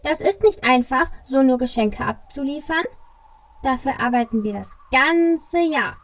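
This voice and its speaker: A woman's voice: high voice, a little slow, a bit bright. A woman playing an earnest, brave male Christmas elf in a children's audio play. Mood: reproachful.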